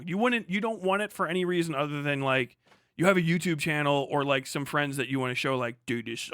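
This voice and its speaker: Bright, profane male livestreamer. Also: a little high, no echo, studio quality. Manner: profane, rambling, emphatic explaining. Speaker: livestream host. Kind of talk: casual livestream commentary.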